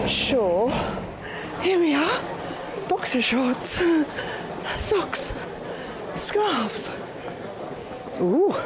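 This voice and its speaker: A woman with an English accent talking to herself in an excitable, exclamatory way, as in a spontaneous audio diary recorded out and about. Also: on the high side. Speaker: performance artist narrating her own outing. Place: outdoors, in a moving car and shops. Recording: distant mic, loud car and street noise. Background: loud traffic and vehicle ambience.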